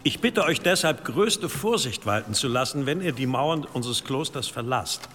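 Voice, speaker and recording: Solemn monk in a reverberant hall. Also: male voice, mid-range voice, animated, normal speed, sharp tone. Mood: grave, solemn. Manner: formal, grave, unctuous toward superiors. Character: solemn, deferential monk preaching of a demon. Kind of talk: radio drama oratory. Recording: long church-like reverb, faint noise.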